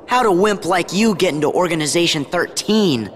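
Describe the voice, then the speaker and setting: High-voiced teenage boy hero. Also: lively intonation, moderate pace, a little hiss or hum. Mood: scornful. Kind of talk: video game cutscene acting.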